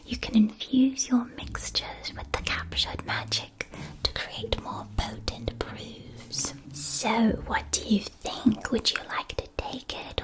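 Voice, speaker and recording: Woman acting as female magic shopkeeper, ASMR roleplay, whispered, slow pace, gentle, attentive, careful articulation. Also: a little high, low-quality recording. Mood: soothing, instructive.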